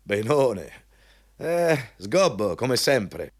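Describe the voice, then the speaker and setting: A man's voice: mid-range voice, sing-song, drawn-out words, full character voice. An actor playing a pompous, overbearing male company boss in a radio drama, hectoring and self-important. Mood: ingratiating, griping. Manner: pompous, hectoring, switching between scolding and ingratiating. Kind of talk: Italian radio drama acting.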